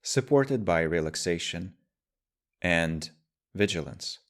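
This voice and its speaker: A man guiding a meditation as a teacher, speaking in measured, deliberate phrases with pauses. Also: sits low, expressive. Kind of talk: guided meditation, slow spoken instruction.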